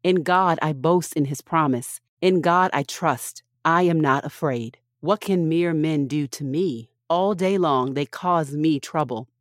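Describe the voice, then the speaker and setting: A woman with a low-pitched voice reads scripture as a podcast host. Her delivery is measured and deliberate, phrased in short, clear lines. The sound is dry, with very little room on it. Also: no room sound.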